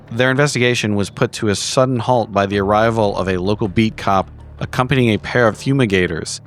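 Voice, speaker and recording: A man reading a scripted horror game recap in a formal, measured storytelling manner. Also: on the low side. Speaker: player giving the story recap.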